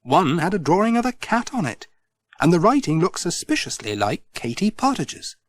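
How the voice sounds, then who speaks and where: A middle-aged man reading a children's storybook aloud, gentle and expressive. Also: high-pitched, moderate pace, dry recording. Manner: gentle, expressive, sing-song. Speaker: audiobook narrator.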